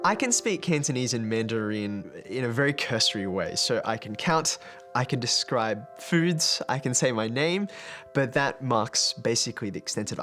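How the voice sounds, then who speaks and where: Brief: man, teacher, reflective documentary interview, soft music underneath. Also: mid-range voice. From Australia. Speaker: maths teacher. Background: background music.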